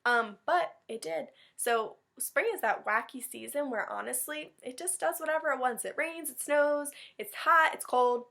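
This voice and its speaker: Woman, teacher, fairly high bright voice, chatty, animated, speaking to young kids. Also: moderate pace, vocal fry, few pauses. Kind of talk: chatty read-aloud for young children.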